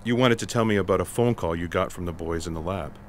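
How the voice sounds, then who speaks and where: Man playing a deep-voiced deadpan special agent. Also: fast talker, lightly acted. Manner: deadpan, matter-of-fact, wordy. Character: unflappable, dry male special agent. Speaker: voice actor. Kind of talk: comic sci-fi audio theatre acting.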